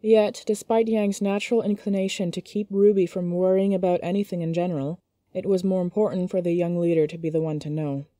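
A woman narrator reading a scripted story in an expressive, measured storytelling manner. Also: lowish voice, dry recording.

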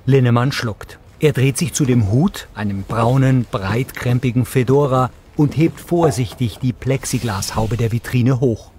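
A man's voice: medium pitch, a little slow, darkish tone, a little hiss or hum. A man reading scripted audio description narration for a television series.

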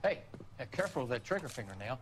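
A man's voice: mid-range voice, sing-song, very fast, a little hiss or hum, lightly acted. A man playing a nervy, folksy old gas station attendant in a video game, trying to placate. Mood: placating. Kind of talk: video game drama dialogue.

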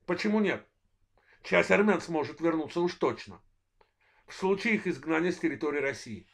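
A man in his forties from Azerbaijan with a worn voice, delivering a political analysis monologue in measured, halting short phrases as host of his own channel. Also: on the high side, lively intonation, gravelly. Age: 40s. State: voice worn, apologizes for it.

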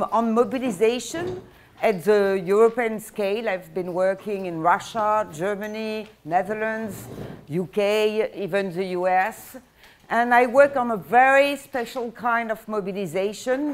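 An older woman with a French accent lectures in a classroom, her voice caught from a distance. She talks in a halting way, filling pauses and restarting her sentences. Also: a little high. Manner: hesitant, many fillers and restarts. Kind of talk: university lecture. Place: classroom with projector screen.

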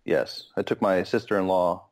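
A relaxed man in his thirties from Pittsburgh, with a lowish voice. He chats casually as a podcast guest and wanders through his thoughts with plenty of ums. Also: moderate pace. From Pittsburgh, USA. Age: 30s. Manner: relaxed, rambling with many ums.

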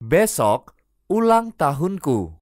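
A woman reading short phrases slowly and clearly, each repeated for language learners. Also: sits low, very animated, darkish tone, no room sound, studio quality. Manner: careful, clearly enunciated. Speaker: native Indonesian speaker reading phrases.

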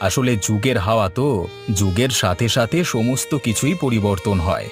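A man's voice: fairly low pitch, moderate pace, close to an everyday voice. Man acting an eager village food seller, music behind. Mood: polite. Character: eager, humble village husband running a biryani stall. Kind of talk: cartoon voice acting. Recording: music bed under the voice.

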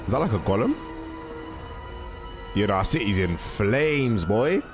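Animated low-pitched young man reacting. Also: measured pace. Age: young adult. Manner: animated, slangy, musing aloud. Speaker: reaction video host. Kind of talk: casual reaction commentary. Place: small indoor room at a desk. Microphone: close microphone on arm, headphones on. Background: music from the cartoon intros being watched.

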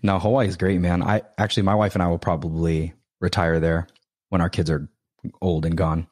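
A man in his thirties talks as a podcast guest with a very deep voice. He is easygoing and laughs often, circling back as he talks, and the recording is dry and close. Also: level tone, fairly quick, a little mellow, dry recording. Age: 30s. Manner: laughing, easygoing, rambling with restarts. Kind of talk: casual interview chat.

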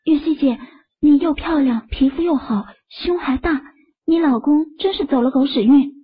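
A woman's voice: high voice, normal speed, natural voice. A woman voicing a frank, teasing young woman in an audio drama, with a blunt way of talking. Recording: dull lo-fi audio.

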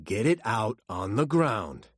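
A hard, commanding police detective, voiced by a male narrator in an audiobook thriller. He speaks in terse orders with wide swings of pitch. The recording is very dry. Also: huge pitch swings, extremely slow, a little mellow, breathy edge, no echo, full character voice. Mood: stern, wary.

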